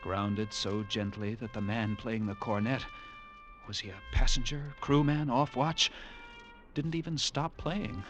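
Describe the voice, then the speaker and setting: An actor playing a haunted lighthouse keeper, narrating an old radio drama with intense, halting delivery and suspenseful pauses. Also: male voice, on the low side, fairly even intonation, faint music behind, close to an everyday voice. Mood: hushed suspense. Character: haunted male lighthouse keeper recounting a grim ordeal.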